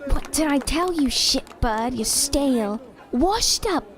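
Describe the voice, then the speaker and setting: A woman playing a sharp-tongued, taunting doll from a stage act in an audio drama, with a theatrical, cutting manner. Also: high-pitched, animated, slow, noisy background. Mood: mocking.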